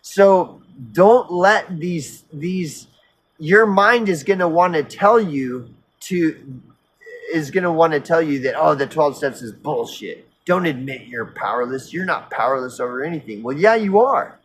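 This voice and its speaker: A man of fifty on an unscripted personal rant, earnest and insistent, halting and repeating himself as he circles back mid-sentence, casually profane. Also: fairly high pitch, animated, husky. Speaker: recovery vlogger.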